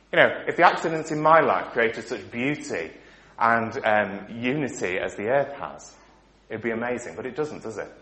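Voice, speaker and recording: Preacher with a failing voice, echoey hall. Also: male voice, medium pitch. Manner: rhetorical questions, emphatic rises and soft endings. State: voice giving out. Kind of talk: sermon.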